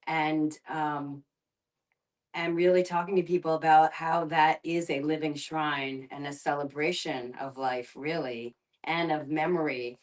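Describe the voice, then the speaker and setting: A woman with a low voice talks reflectively in a discussion. She picks her words thoughtfully, pausing and restarting, and sits a little away from the microphone. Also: fairly even intonation, distant mic. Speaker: guest facilitator and documentary filmmaker.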